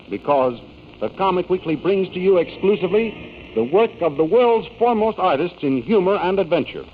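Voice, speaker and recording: Animated old-time radio announcer man. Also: high voice. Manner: emphatic, formal salesmanship. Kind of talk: 1930s radio announcing, scripted promotion. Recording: noisy, dull old radio recording.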